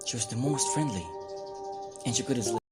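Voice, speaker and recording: A man's voice: medium pitch, normal speed, a little mellow, soft background music, lightly acted. A man playing a troubled male student who recounts events hesitantly, often restarting his words. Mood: uneasy.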